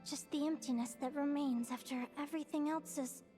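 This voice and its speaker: A woman voices a young fae girl with a very high, delicate voice. She speaks with heartfelt sincerity and emotional pauses, vulnerable but brave, in anime-style game dialogue. Music plays prominently behind her. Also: fairly even intonation, mellow, natural voice. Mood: faint, haunted.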